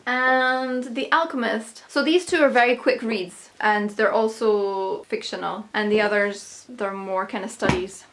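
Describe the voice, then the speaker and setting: A young woman from Scotland with a Scottish accent, chatting casually to camera on her own channel, upbeat and quick to laugh. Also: mid-range voice, a bit bright. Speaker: YouTube vlogger.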